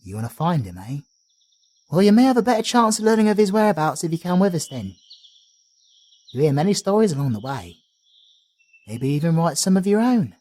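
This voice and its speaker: A man's voice: on the high side, darkish tone. A young man with a British accent, voicing a friendly, chatty male traveler companion who rambles and uses matey slang in an audio roleplay. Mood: reassuring.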